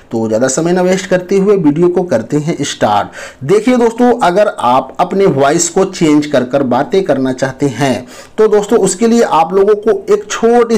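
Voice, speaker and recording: Male tutorial host, slightly high voice, informal instructional narration for online viewers. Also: a little mellow. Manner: instructional, addresses viewers as friends.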